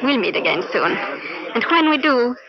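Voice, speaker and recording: A woman playing a seductive, mercenary informant with a Spanish accent, speaking in a coy, measured way in 1950s radio drama. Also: a little high, sing-song. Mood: coy, calculating. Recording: muffled old radio broadcast with loud music.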